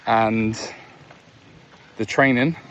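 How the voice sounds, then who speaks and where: A man's voice: on the low side, steady tone, drawn-out words, background noise. A man sharing earnest, reflective stories on an evening walk outdoors, talking casually with restarts. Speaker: vlogger. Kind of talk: casual motivational talk while walking. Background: faint outdoor ambience, a dog.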